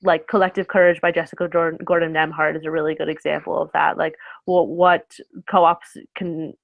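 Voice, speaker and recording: A woman's voice: low voice, level tone, moderate pace, vocal fry, dry recording. Young woman on a tinny call line. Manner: rambling, many fillers and self-corrections. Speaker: guest speaker. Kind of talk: casual video-call conversation. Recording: tinny phone-like call audio.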